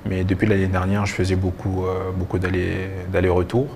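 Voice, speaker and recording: A young man giving halting answers with repeated words as a guest in a TV interview. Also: low-pitched, completely flat delivery, background noise. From DR Congo and Belgium. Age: young adult. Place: TV studio. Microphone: lapel mic.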